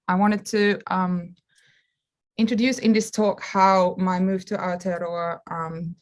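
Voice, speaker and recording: A woman with an Austrian German accent speaks in a fairly low voice. She gives her conference talk over a video call, pausing often to find her words. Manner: halting, searching for words as she goes. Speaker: media artist presenting on a panel.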